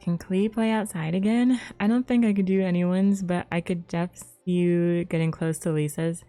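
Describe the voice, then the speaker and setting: A young woman livestreamer chats casually while playing. Her voice is a little low and quite mellow, with faint music behind it. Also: steady tone, normal speed. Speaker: game livestreamer.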